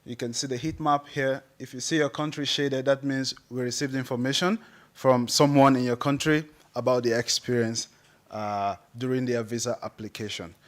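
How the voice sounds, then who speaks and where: A man's voice: medium pitch, fairly even intonation, normal speed. A man introducing a survey at a conference, hesitant and with many fillers. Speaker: presenter. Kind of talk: conference presentation.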